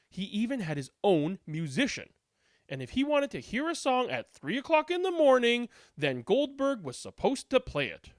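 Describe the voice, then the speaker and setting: A woman's voice: medium pitch, no room sound, clean studio sound. A woman reading a children's storybook aloud as narrator, with a dramatic, comic, emphatic delivery.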